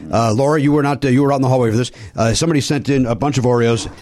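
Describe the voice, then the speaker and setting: A man's voice: medium pitch, darkish tone. A man hosting a comedy podcast, joking and teasing his guest in casual chat. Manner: joking, teasing, quick laughs. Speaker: podcast host.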